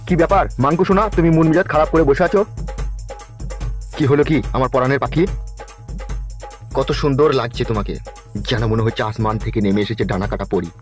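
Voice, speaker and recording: Man voicing a fast-talking, bossy politician. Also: medium pitch, faint music behind, natural voice. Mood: sweet-talking, flirtatious. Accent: West Bengal Bengali. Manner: crude, brash, smooth-talking. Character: scheming, lecherous, bossy male politician. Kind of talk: comedy cartoon voice acting.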